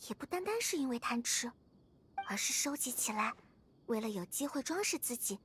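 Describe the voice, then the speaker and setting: A woman's voice: a little high, very animated, a little fast, a bit bright, slight background noise. A woman voicing a cheerful, friendly young female adventurer and naturalist who muses fondly in a video game. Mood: musing, fond.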